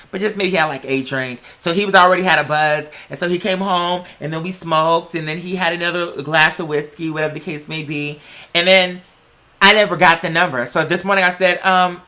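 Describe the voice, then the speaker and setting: Bright-voiced sassy man chatting. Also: high voice. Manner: sassy, dramatic, slangy and profane. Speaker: host. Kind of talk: casual chat, personal storytelling.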